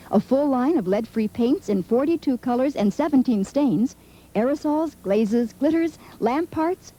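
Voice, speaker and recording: Mellow, higher-pitched woman announcer. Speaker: commercial announcer. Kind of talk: scripted 1970s local TV ad read. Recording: dull, lo-fi vintage TV audio.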